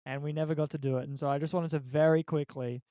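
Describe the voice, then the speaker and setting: A man's voice: on the high side, steady tone, fast talker, dark tone, no echo. A man chatting casually on a podcast, introducing topics to his friends. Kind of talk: casual chat.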